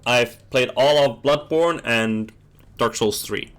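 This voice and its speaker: A man's voice: mid-range voice, slow pace, fairly bright tone. A man hosting a casual gaming stream, chatting and reading game text aloud, often stumbling and rereading words.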